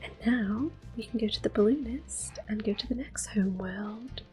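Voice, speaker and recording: Soft whispery woman, game music behind. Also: fairly high pitch. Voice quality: soft, breathy, often whispered. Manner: gentle, unhurried. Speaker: gameplay host. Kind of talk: soft-spoken ASMR game commentary. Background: video game music.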